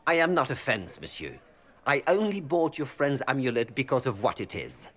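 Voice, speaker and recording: A male actor playing a haughty, scheming merchant in a video game, with formal, silky, measured politeness. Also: medium pitch, very animated, a bit bright. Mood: smooth haggling. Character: haughty, scheming foreign male merchant seeking noble influence.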